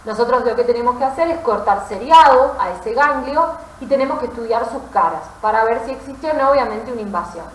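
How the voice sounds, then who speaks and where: An Argentine woman teaches as a medical lecturer in a fairly high voice. She explains step by step in a didactic way, adding short checking questions. The recording is echoey, with clear room sound around her voice. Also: expressive, fairly quick, hardly any pauses. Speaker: pathology lecturer, physician.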